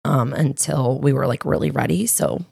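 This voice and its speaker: A woman in her thirties with a raspy voice, chatting candidly as a podcast co-host and health coach, with plenty of fillers. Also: extremely low pitch, normal speed, warm tone, dry recording, studio quality. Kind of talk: casual podcast chat.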